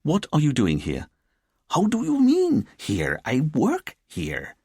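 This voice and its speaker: Guy voicing an easygoing cop in an audiobook, defensive but casual, husky, pitch all over. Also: big pitch swings, normal speed, no room sound, clean studio sound, heavily acted. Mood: startled.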